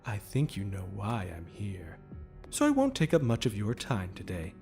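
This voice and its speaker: Audio drama villain, sadistic demon, sardonic, drawling, animated intonation, mock-courteous, music behind. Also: male voice, fairly low pitch, moderate pace, creaky, full character voice. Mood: mock-sweet menace. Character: sadistic, mocking demon predator, theatrically polite, menacing.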